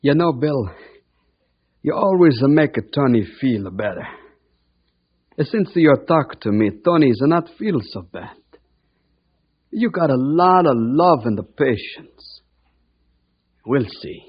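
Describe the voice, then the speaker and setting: Casting: heartfelt Italian-accented shopkeeper, broken English, very animated and rough-edged, vintage dull radio sound. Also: male voice, medium pitch, slow pace, long pauses. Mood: softened, grateful. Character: warm-hearted Italian immigrant shopkeeper man, earnest and forgiving. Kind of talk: old-time radio drama acting. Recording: muffled old radio recording.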